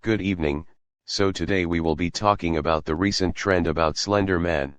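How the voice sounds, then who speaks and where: A man's voice: deep voice, fairly even intonation, normal speed, background noise. A man presenting a scripted newscast in a plain, earnest, announcing way.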